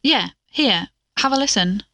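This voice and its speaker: A woman's voice: medium pitch, animated, a little slow, bright, forceful tone, a little hiss or hum. A woman playing an inquisitive amateur investigator and co-host in a conversational audio drama podcast. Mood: casual, hopeful.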